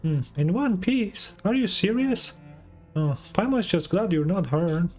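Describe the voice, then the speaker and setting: A man voicing a small, chatty, excitable fairy companion, reading out video game dialogue in a chirpy, questioning way. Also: sits high, measured pace, faint music behind. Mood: worried, fussing.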